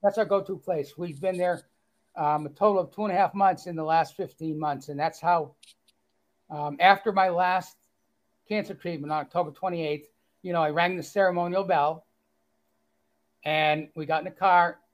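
High-voiced man with a Boston accent. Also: steady tone, normal speed. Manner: good-humored, self-deprecating, with fillers. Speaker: author guest. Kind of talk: reading aloud and casual interview chat.

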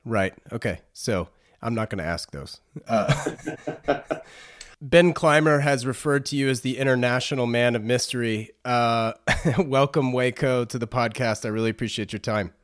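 A man's voice: mid-range voice, moderate pace. A man hosting a podcast, speaking as a presenter and interviewer. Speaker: podcast host. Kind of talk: scripted narration and interview.

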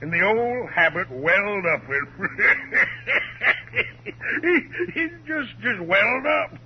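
A genial, unrepentant old swindler from 1950s radio drama. He rambles along slowly and folksily in a high voice. The recording is muffled vintage radio with a faint hum. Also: sing-song, heavily acted. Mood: sheepish, amused. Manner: folksy, rambling, chuckling, calls listeners son. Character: genial old male hotel deadbeat, folksy, unrepentant con man.

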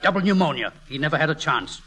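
An actor playing a matter-of-fact doctor in a 1940s radio play, formal and clinical. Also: male voice, a little high, animated, quick pace, bright, forceful tone.

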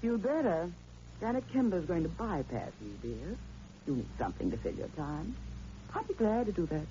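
A woman's voice: lowish voice, big pitch swings, moderate pace, warm tone. Sardonic woman schemer, sweeping delivery. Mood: threatening. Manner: arch, mocking, theatrical delivery. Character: sardonic, cool, scheming woman columnist and blackmailer. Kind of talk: old-time radio drama acting. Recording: dull, noisy old radio recording.

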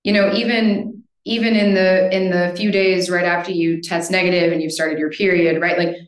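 A woman's voice: lowish voice, level tone, moderate pace. An American woman in her thirties, a podcast guest, talking casually and often tagging her sentences with "right?". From United States. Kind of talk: casual conversation. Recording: roomy echo.

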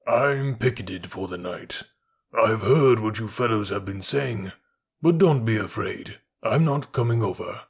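A man voicing a big male elephant in a storybook reading, superior and weary, with a gurgly, rumbling voice. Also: lively intonation, a little slow, gravelly, husky, exaggerated voice. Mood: amused.

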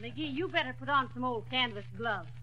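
An actress playing a wry, teasing middle-aged wife in a 1930s radio comedy, with dry jabs and sly laughs. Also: female voice, high-pitched, moderate pace, lo-fi sound, heavy background noise, close to an everyday voice.

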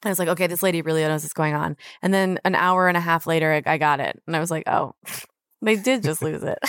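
Low, smooth-voiced woman chatting casually. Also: brisk, fairly bright tone, no echo, studio quality. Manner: slangy, retells exchanges in quoted dialogue. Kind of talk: casual chat, animated anecdotes.

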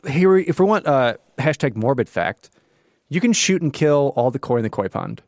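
A man of middle pitch co-hosts a gaming podcast in an upbeat, playful way, jumping up in excitement now and then. His voice sounds dry and close to the mic. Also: normal speed, dry recording. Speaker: podcast co-host. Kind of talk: casual gaming podcast chat.

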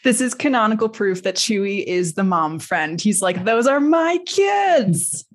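A woman's voice: medium pitch, big pitch swings, unhurried. A woman co-hosting a podcast with casual fan commentary, playfully dramatic and full of slangy exaggeration. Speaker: podcast co-host.

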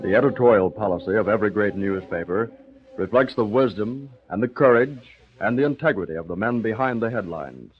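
An actor as a stirring announcer narrating a 1940s radio crime-adventure serial. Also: male voice, lowish voice, expressive, a little fast, close to an everyday voice. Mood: earnest, measured. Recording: dull old radio recording, crowd-like din behind.

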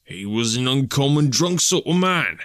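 A man's voice: mid-range voice, very animated, normal speed, a bit bright, no room sound, clean studio sound, pitched above the performer's own voice. A man voicing a simple, wary police constable from a storybook, grumbling in uneducated dialect phrasing. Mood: aggrieved.

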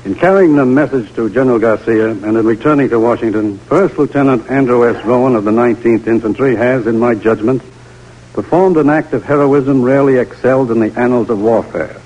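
A man acts out an approving, formal American major in an old radio play. His pitch is middling and the vintage recording sounds dull. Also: brisk, a little mellow, natural voice. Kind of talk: 1940s radio drama acting.

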